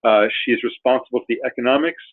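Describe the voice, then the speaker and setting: A man hosting a webinar, introducing the speakers in a halting way with many fillers. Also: medium pitch, background noise. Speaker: webinar host. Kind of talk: hesitant spoken introduction. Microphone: conference call. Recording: tinny phone-line audio.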